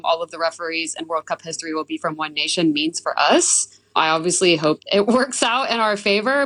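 A young woman speaks with a voice worn hoarse from cheering, sitting a little low in pitch. Her sound is dry and close, with no room around it. She chats in a casual, upbeat way as a guest on a radio show. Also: a bit bright, dry recording, low-quality recording. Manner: casual, upbeat, says "like" often. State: hoarse from screaming. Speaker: football fan guest. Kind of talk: live radio interview.

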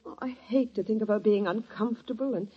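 Whiny hurt sister, kinda high, lo-fi old radio. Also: female voice, fast, husky. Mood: plaintive. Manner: plaintive, pleading. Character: meek, wounded sister and wife. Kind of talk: 1940s radio acting. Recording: dull old radio recording.

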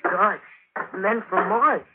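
A man's voice: high voice, takes it slowly. A man acting a nervous, wide-eyed boy sidekick in an old science fiction radio drama, anxious and exclamatory. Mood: frightened. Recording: tinny band-limited old radio, faint noise.

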